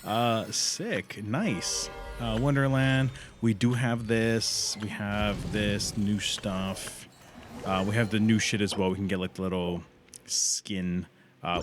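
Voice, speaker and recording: A man doing casual livestream commentary on a game, informal and sweary, thinking out loud as he plays. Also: lowish voice, slight background noise. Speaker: live game streamer.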